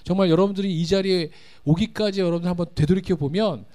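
A man's voice: sits high, quick pace, mellow. A man hosting a live talk show, steering it with casual banter. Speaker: main host of a talk show.

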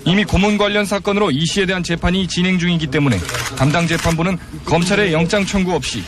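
A male reporter reads a scripted news package in a steady, formal cadence. His voice sits notably high for a man. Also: quick pace, crowd noise.

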